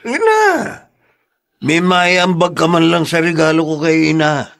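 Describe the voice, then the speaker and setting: A gravelly, drunken middle-aged father. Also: fairly high pitch, expressive, a little slow, a bit bright, full character voice. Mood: stubborn pleading. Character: hard-drinking, stubborn, hot-tempered father of a teenage girl. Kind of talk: radio drama acting.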